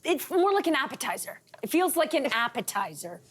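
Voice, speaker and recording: A woman's voice: high-pitched, sing-song, brisk. A woman co-hosting a casual tasting chat, reacting with enthusiasm. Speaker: co-host.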